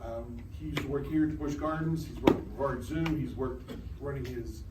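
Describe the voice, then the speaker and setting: A man hosting a club meeting, introducing the guest speaker in a halting way with many fillers. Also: medium pitch. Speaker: club host. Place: meeting room. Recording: echoey room, distant mic, noise.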